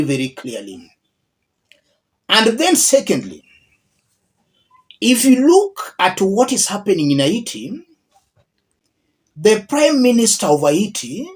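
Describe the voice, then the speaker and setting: Male Kenyan political commentator; measured, unhurried delivery, widely varied pitch, repeats points for emphasis. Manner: emphatic, deliberate, repeats key phrases. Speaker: political commentator, channel host. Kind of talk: political video commentary addressed to viewers.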